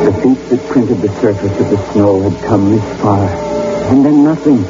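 A man's voice: mid-range voice, close to an everyday voice. Actor playing a haunted young man, old radio horror. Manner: dramatic, brooding narration breaking into anguished cries. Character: frightened young man lost in wilderness, narrating his ordeal. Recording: muffled old radio recording, loud music behind.

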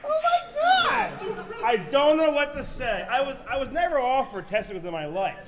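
A man co-hosting a live podcast on stage, excitable and exclaiming. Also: very high-pitched, moderate pace, long reverb tail. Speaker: podcast co-host. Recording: live stage with loud crowd and noise.

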